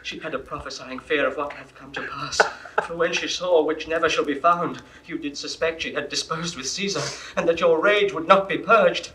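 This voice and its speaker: Urgent male messenger, high bright voice, roomy 1960s studio recording. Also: moderate pace. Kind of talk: 1960s classical verse drama.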